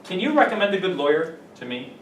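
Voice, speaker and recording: A man's voice: high-pitched, big pitch swings, fast, slight background noise. Playful male English teacher in echoey classroom. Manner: playful, laughs often, gives example sentences. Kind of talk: classroom vocabulary lesson. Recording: echoey classroom, camera from a distance.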